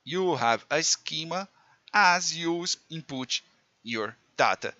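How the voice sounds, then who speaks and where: A man's voice: a little high, animated, takes it slowly, bright, forceful tone, no echo. Portuguese-accented male tutor, self-mocking, clumsy English; slightly muffled, dry close-mic sound. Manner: chatty, self-mocking, non-native English with slips. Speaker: programming teacher. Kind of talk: casual screen-recorded coding lesson.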